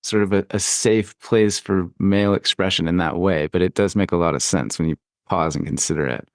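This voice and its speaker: Thoughtful low-voiced male interviewer. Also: a little fast, no room sound, clean studio sound. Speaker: podcast interviewer. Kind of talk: reflective conversational interview.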